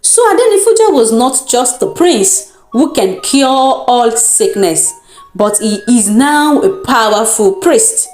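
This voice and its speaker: A woman with a Nigerian accent narrates a folktale as a storyteller, in a deliberate, dramatic and expressive manner. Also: fairly high pitch. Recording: roomy echo with music underneath. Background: music.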